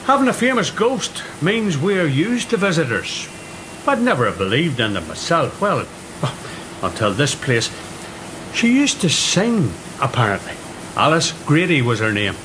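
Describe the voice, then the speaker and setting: A man's voice: fairly high pitch, big pitch swings, unhurried, noisy background. A chatty mill foreman. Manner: chatty, storytelling. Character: middle-aged foreman, friendly storyteller. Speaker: Mister Jennings, mill foreman. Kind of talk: radio drama acting.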